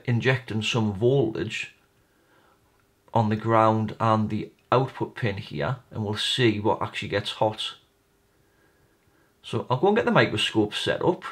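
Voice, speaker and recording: A man giving a casual hands-on repair walkthrough, informally explaining each step and thinking aloud. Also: on the low side. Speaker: hobbyist electronics repair presenter.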